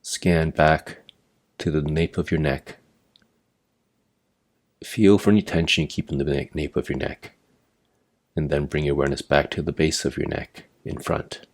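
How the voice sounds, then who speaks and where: A man narrating a guided meditation as an instructor, giving measured, step-by-step directions. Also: a bass voice, steady tone. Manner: measured, imperative step-by-step guidance.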